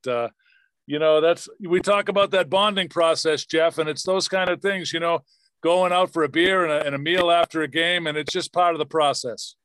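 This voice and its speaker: A man's voice: on the high side, fairly bright tone, no room sound. A man hosting a podcast, talking conversationally with his guests. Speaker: podcast host, former radio play-by-play announcer.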